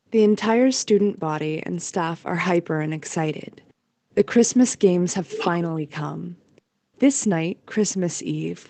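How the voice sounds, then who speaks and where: A woman's voice: lowish voice, darkish tone, no echo. A woman narrating a scripted audio drama as its storyteller.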